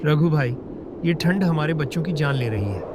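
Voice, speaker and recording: A man voicing a humble, worried poor village father in an animated moral story. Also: fairly high pitch, moderate pace, close to an everyday voice. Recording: clear background noise.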